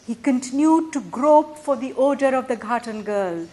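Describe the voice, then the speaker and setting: A woman gives a dramatic, expressive literary reading, deliberate in delivery, with emphatic swells and hushed phrase endings. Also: high voice. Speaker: reader of a literary short story.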